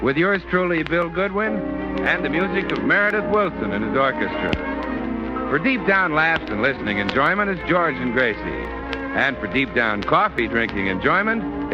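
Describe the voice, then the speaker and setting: An American man announcing and narrating a sponsored radio comedy in mid-century style. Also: medium pitch. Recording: muffled old radio broadcast, loud music.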